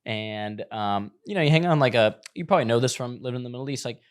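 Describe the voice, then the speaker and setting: Fast-talking podcast host man. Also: mid-range voice, clear, resonant tone. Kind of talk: casual podcast chat.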